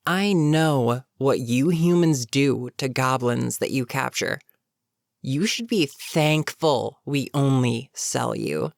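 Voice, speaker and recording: Voice actor doing a bitter goblin captain, creaky voice, takes their time, sardonic threats to a captive, fantasy roleplay. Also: mid-range voice, no echo, studio quality. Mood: resentful. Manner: sardonic, unhurried, matter-of-fact threats. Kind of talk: scripted fantasy audio roleplay, addressing the listener.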